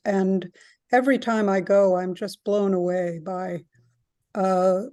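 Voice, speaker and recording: Slow-spoken woman, board chair on a video call. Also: mid-range voice, dark tone, no room sound, clean studio sound. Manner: earnest, with hesitant fillers.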